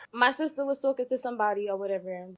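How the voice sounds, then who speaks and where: Young american girl, kinda high voice, slangy and emphatic, just chatting. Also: quick pace. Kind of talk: casual chat about dating.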